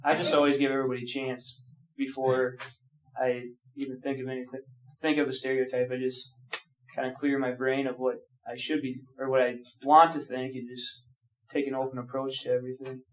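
A man's voice: medium pitch, flat delivery, fairly quick. A male student speaking casually and agreeably in a classroom discussion. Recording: distant mic with faint noise.

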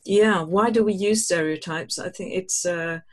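An adult leading a classroom discussion, speaking in a measured, thoughtful way with frequent pauses. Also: mid-range voice. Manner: measured, thoughtful, many ums. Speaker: discussion leader.

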